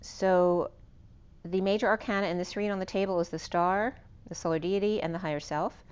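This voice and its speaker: A woman with a hoarse, fading voice giving an unscripted spiritual tarot reading, meandering and correcting herself as she lists cards and numbers. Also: on the low side, steady tone, fairly quick, darkish tone, lo-fi sound. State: losing her voice. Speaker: tarot reader.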